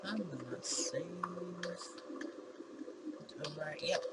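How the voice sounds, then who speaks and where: A woman's voice: sits low, lively intonation, takes it slowly. A woman gaming YouTuber reading a visual novel aloud, with bemused, giggly reactions in between. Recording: clear background noise.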